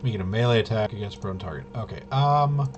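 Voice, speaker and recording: A man's voice: lowish voice, fairly quick, dull audio, noisy background. A man casually thinking aloud as he plays a tabletop roleplaying game, hesitant and muttering to himself while he checks rules. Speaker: solo tabletop RPG player demonstrating gameplay.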